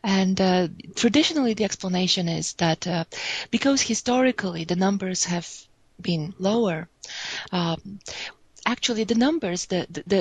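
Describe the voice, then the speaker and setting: Husky-voiced woman. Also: fairly low pitch, dry recording. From Bulgaria. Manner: hesitant, many fillers, self-correcting. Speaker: academic speaker. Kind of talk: remote conference talk on statistics.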